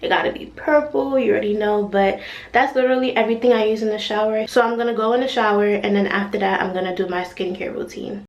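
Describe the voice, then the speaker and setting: A woman of nineteen from New York, vlogging her morning routine at home in a relaxed, rambling way, her voice raspy from just waking up. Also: medium pitch, muffled recording, slight background noise. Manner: chatty, drawn-out, filler-heavy talk. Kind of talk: casual morning-routine vlog. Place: at home, bedroom and bathroom.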